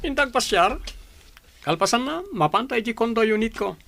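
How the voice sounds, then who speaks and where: Young male suitor, very high voice, friendly, audio drama dialogue male actor. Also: lively intonation, normal speed, gravelly, fairly bright tone, a little hiss or hum, exaggerated voice. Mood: inviting.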